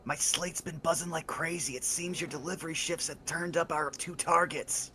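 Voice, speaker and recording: A furtive, eager contact, read aloud by a man for a game, pressing his points in quick, hushed bursts. His voice sits a little high for a man, with a faint hiss of noise behind it. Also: fairly even intonation. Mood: conspiratorial. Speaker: co-player reading character lines.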